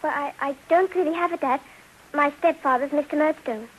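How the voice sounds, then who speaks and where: Meek, hesitant boy of ten acted by a woman, high and soft-mannered, for vintage radio drama. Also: a little fast, natural voice, low-quality recording. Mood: timid, meek. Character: timid, polite ten-year-old English boy. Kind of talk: 1950s radio drama acting. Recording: old 1950s radio broadcast.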